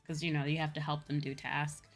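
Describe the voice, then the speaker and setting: A woman with a Midwestern American accent telling stories casually as a livestream host, in an animated, chatty manner. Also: deep voice, fairly quick. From Midwestern United States. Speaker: streamer and VTuber host. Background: background music.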